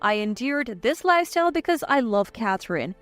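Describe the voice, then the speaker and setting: A woman reading a scripted first-person family drama in an animated, dramatic narrating manner. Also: on the high side, a little fast, no echo, soft background music. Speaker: story narrator.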